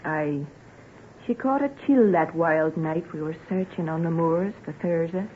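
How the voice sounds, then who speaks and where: A woman's voice: low-pitched, a little slow, slight background noise. Sorrowful woman, tinny old radio. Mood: grieving, awed. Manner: earnest rustic dialect storytelling. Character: plain-spoken sorrowful country woman, devoted to her family. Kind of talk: old-time radio drama acting.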